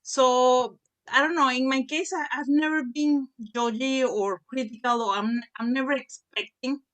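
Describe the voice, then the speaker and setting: A woman speaking as a parent on a panel, answering hesitantly with many pauses and restarts. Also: high-pitched, fairly even intonation, fairly bright tone, clear, resonant tone. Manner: hesitant, full of fillers and restarts. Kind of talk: panel discussion.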